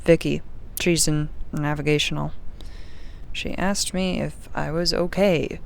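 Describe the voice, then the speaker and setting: A woman acts a young female spaceship crew member keeping an audio-drama log. Her voice is low-key and gravelly with vocal fry, and she talks in a dry, sarcastic, sweary grumble, frustrated with her lot. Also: steady tone, a little slow, slight background noise, poor audio. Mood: sardonic, grumbling.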